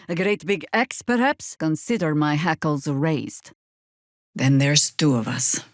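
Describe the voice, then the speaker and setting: Fantasy game druid veteran, female: world-weary, wry, very slow and measured, close dry sound. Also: low voice, no room sound. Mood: dry, sardonic. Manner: dry, sardonic, measured delivery. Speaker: video game voice actor. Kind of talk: scripted game character dialogue and spell calls.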